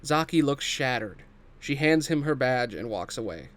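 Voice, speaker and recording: A man's voice: medium pitch, animated, moderate pace, a bit bright. Man narrating a script read-through. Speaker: narrator reading stage directions.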